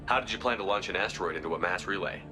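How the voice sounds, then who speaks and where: A man's voice: deep voice, racing, close to an everyday voice. A man playing a terse, commanding space military officer in a video game, blunt and clipped in his questioning. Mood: probing, skeptical. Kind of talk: video game cutscene acting. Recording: music under the dialogue.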